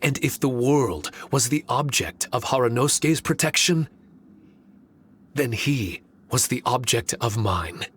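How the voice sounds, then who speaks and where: Slow, solemn male guardian spirit. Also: medium pitch, lively intonation, a bit bright, slight background noise. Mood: reflective. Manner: measured, formal, reflective delivery. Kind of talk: scripted dramatic game dialogue.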